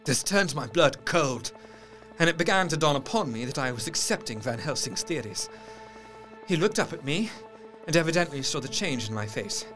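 An actor playing a troubled, sceptical gentleman doctor, narrating his diary in intense, formal literary diction for a gothic drama. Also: on the high side, animated, normal speed, poor audio. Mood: shaken, reluctant. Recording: music under the voice. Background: music.

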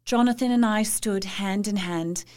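A woman's voice: medium pitch, unhurried, a little mellow, close to an everyday voice. An actress playing a thoughtful, dutiful young Victorian wife, narrating her journal in a reflective and precise manner. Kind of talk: dramatic diary narration in audio drama.

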